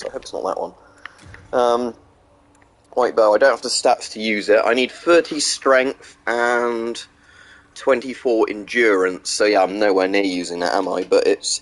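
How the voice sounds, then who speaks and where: A man streaming a video game, casually chatting with viewers and hesitating often, with a slight sore throat and a blocked nose. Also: fairly low pitch. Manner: rambling, answers chat questions between gameplay remarks; hesitant, many ums and restarts. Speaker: gaming streamer. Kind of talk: casual live-stream commentary while playing.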